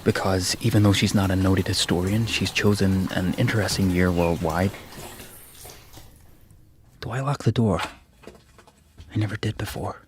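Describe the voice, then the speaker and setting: An actor playing a jumpy, self-mocking young graduate student in a radio drama, husky and nervously muttering. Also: male voice, fairly low pitch, a little hiss or hum, natural voice. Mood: nervously wry. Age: young adult. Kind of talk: radio drama acting, interior monologue.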